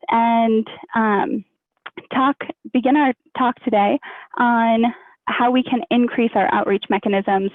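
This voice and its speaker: A woman with a fairly high voice moderates an online panel. She picks her words carefully, with halts and repeats. The sound is narrow and phone-like, with very little room around it. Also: dry recording. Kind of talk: introducing an online panel discussion. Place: online video webinar. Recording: thin phone-like online call audio.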